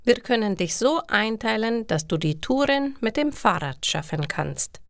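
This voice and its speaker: Helpful male pizza courier for an audio storybook played by a female narrator, patient, encouraging, very measured pace. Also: on the high side, expressive, no room sound, studio quality, pitched above the performer's own voice. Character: friendly, helpful young man, pizza delivery worker.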